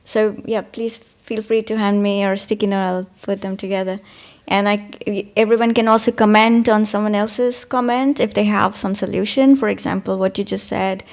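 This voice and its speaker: A woman facilitating a workshop discussion, organizing the session in a friendly, slightly halting way. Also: medium pitch, fairly even intonation.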